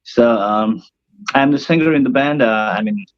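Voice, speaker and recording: A man's voice: medium pitch, normal speed, no room sound, muffled recording. A young man from northern India whose first language is Nepali, a cruise ship band singer talking hesitantly with many fillers in a casual interview, his voice tired and worn.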